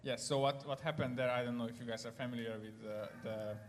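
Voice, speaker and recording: A man's voice: mid-range voice, fairly even intonation, fast, big-room sound. A man with a Hungarian accent, raised in Ukraine, telling his story at church, hesitant with many fillers. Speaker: guest speaker. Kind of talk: church testimony talk. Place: church hall.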